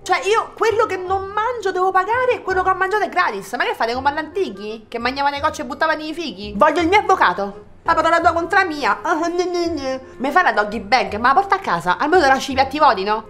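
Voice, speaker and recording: A young woman with a Roman accent performing a comic sketch in an animated, slangy, theatrical way. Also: sits high, fast talker, hardly any pauses. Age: young adult. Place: small restaurant dining room, indoors. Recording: background music under the voice.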